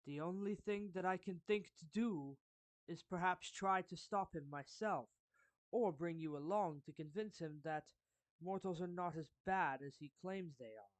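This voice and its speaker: A performer voicing a suave, courtly villain turned gently protective friend in an anime fan roleplay, speaking formally and deliberately with pauses mid-phrase. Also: medium pitch, dry recording, close to an everyday voice. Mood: explanatory, grave. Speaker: character voice actor. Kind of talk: anime fan roleplay monologue addressed to the listener.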